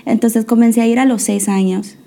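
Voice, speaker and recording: A young woman from the United States, speaking as a guest, with a mellow, warm tone set a little high. She tells her story hesitantly, pausing on fillers and restarting her phrases. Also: steady tone, creaky. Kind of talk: testimony interview.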